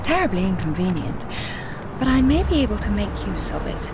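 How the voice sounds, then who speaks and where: An actress playing a cool, daring adventuress in a video game, with a British accent and a dry, poised wit. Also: female voice, mid-range voice, sing-song, brisk, background noise. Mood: wry, composed. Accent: British English. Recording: loud music over the voice.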